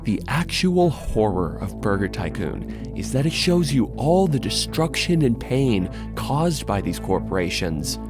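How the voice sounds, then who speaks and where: A man's voice: mid-range voice, big pitch swings, unhurried, hardly any pauses. A man narrating a scripted video essay in an emphatic, dramatic way. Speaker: video essayist and channel host. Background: music.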